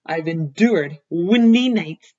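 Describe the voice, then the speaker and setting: A woman reading as a small, polite male tortoise who speaks formally and longs for a longer neck, with a slightly husky voice. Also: fairly low pitch, lively intonation, slow, warm tone, lo-fi sound. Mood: earnest. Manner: formal, courteous, wistful.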